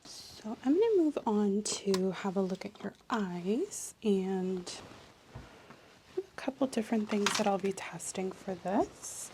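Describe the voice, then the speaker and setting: A woman soft-speaking an ASMR medical exam role-play as the examiner, explaining in a clinical way and often slipping into a whisper. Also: mid-range voice, animated, slow, noisy background.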